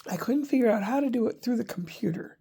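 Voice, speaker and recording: Congested older woman, gravelly voice. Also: a little high, lively intonation, fast talker, dark tone. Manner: rambling, chatty, wry asides. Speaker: vlogger. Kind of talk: casual chat to camera.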